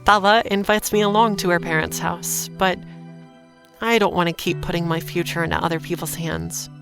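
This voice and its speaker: A woman's voice: on the low side, steady tone, moderate pace, over music, close to an everyday voice. An actress playing a guarded, independent young woman drifter, speaking dryly in a noir audio drama. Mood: reflective, resolute. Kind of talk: audio drama acting with noir first-person narration.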